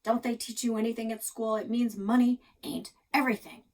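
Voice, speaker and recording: A woman reading a children's storybook, voicing a gruff, grumpy old grandfather with a folksy, plainspoken manner. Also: on the high side, flat delivery, fairly quick, darkish tone, voice pushed up, close to an everyday voice. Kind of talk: children's storybook read-aloud.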